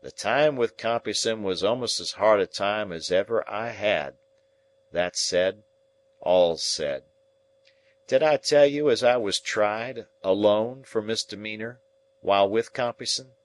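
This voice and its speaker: A man voicing a rough old male convict, gruff and plainspoken, in a rustic dialect. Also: on the low side, measured pace, lightly acted. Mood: grim storytelling.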